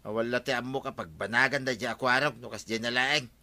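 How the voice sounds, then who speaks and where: A man's voice: medium pitch, steady tone, fast talker, vocal fry, bright, forceful tone. A man playing a meddling, grumbling village uncle with an Ilocano accent in a radio comedy drama. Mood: aggrieved.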